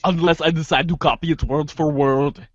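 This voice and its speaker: A man reads as a brainy teenage girl, sardonic and skeptical. The voice is somewhat high for a man and delivers long, dry, wordy lines. The recording is close but muffled and lo-fi. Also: steady tone, normal speed, dry recording, natural voice. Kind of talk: readers theater of web comic chat logs. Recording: dull, lo-fi microphone sound.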